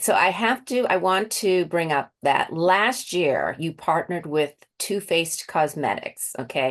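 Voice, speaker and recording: Woman, Boston accent, chatty interviewer, lowish voice, laughs a lot. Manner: chatty, laughs often, restarts mid-sentence. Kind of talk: casual interview with a quiz.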